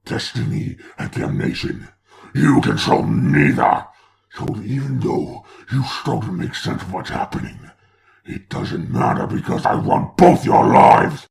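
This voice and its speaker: A man voicing a grandiose, taunting demon lord in a comic dub, with a gravelly, theatrical delivery. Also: medium pitch, expressive, unhurried, darkish tone. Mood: scolding, domineering. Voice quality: gravelly, creaky. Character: grandiose demon lord, menacing mocking father of hellspawn.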